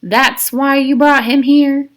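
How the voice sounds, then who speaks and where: A woman reading aloud the part of a coolly menacing camp warden in a storybook, deliberate and falsely polite. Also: sits high, fairly even intonation, voice pushed up. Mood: cool, commanding.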